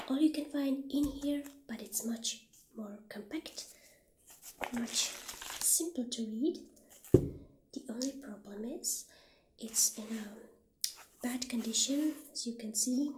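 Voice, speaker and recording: A woman plays a humble, friendly witch shopkeeper in an ASMR roleplay. Her voice is fairly high, breathy and half-whispered, and she takes her time with gentle, halting phrases. The recording is roomy and echoing, with noticeable background noise. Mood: gently helpful. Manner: gentle, hesitant, halting delivery. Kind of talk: soft-spoken ASMR roleplay.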